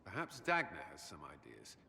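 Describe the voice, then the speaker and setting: An actor playing a stern, principled military commander in a video game, speaking gravely and earnestly. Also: male voice, low voice, wildly swooping pitch, moderate pace, sharp tone, full character voice. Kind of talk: video game dramatic dialogue. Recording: reverberant hall, faint noise.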